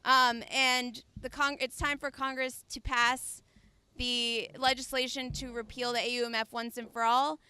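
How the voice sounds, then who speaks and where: A woman giving semi-prepared closing remarks at a press conference, somewhat halting as she searches for words. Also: fairly high pitch, level tone, bright, forceful tone, slight background noise.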